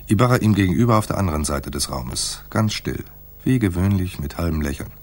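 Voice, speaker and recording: A wry private detective from a noir radio play. His voice sits very low and has a husky grain. He talks with laconic, sardonic understatement. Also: lively intonation, a little fast. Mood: dry, brooding. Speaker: narrator and lead actor. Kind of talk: noir radio drama, first-person narration and dialogue.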